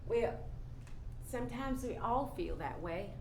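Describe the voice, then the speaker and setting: A woman's voice: on the high side, expressive, a little slow. An actress playing a jaded, skeptical working woman with a blunt, folksy, challenging manner in a staged play reading. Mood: dismissive, softening. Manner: folksy, challenging, pointed rhetorical questions. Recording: echoey room, faint background noise.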